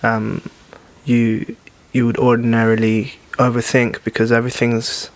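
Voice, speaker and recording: A man's voice: medium pitch, completely flat delivery, a little slow. A young man from Nigeria chatting as a podcast guest, easygoing, with many ums and restarts. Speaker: guest singer. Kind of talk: casual podcast chat.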